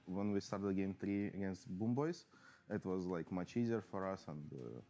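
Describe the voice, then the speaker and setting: A low-voiced man answering interview questions as a pro player. His tone is dark and mellow, and he pauses often with fillers. Soft music plays behind him. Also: lively intonation. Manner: halting, many fillers. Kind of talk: post-match player interview.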